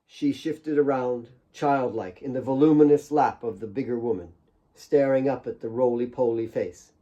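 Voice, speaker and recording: Male narrator, medium pitch, solemn, measured, deliberate pauses, dramatic audiobook reading. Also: dark tone, muffled recording. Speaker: author reading own novel.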